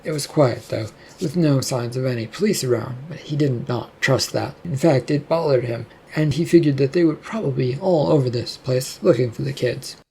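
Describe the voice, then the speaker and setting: A storyteller with a husky, mellow voice reads scripted fiction. The delivery is narrative, moving through action and description. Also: mid-range voice, normal speed, hardly any pauses, poor audio.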